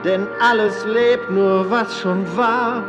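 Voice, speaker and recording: A woman performing solemn philosophical verse in a radio play, partly sung, with drawn-out poetic phrasing. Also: mid-range voice, steady tone, natural voice. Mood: solemn, melancholy. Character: solemn female singer of philosophical verse on transience. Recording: loud music under the voice.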